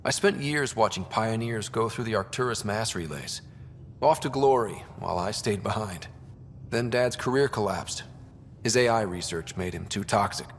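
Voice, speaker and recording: A man's voice: normal speed, natural voice. An actor playing an earnest, curious young male leader of explorers in a video game. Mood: reflective. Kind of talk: video game character dialogue. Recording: long reverb, faint rumbling background noise.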